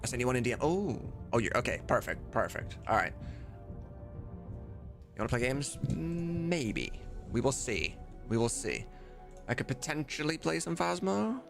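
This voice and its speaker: A man's voice: mid-range voice, very animated, moderate pace, gravelly, music in the background. A man chatting casually and informally as a livestream host, with some mild swearing. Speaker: livestreamer and host of a game voice-dubbing mod team.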